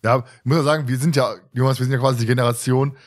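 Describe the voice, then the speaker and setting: Man, thirties, mid-pitched voice, podcast host, colloquial, teasing, casual chat. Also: steady tone, very fast. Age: 30s.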